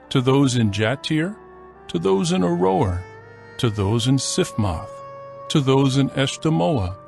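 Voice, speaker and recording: A man narrating scripture from a script in a solemn, measured storytelling manner. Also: mid-range voice, darkish tone.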